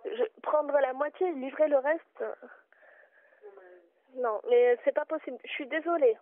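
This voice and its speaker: Patient, firm female shop clerk, high-pitched, heard over a phone line. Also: measured pace, no room sound. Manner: polite but firm, patient. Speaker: bookshop clerk. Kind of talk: customer service phone call.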